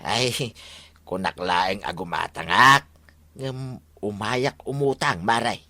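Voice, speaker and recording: An actress playing a slow, insistent shop customer wanting credit in a comedic radio drama. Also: female voice, rumbling low, pushed and bright, no room sound, heavily acted.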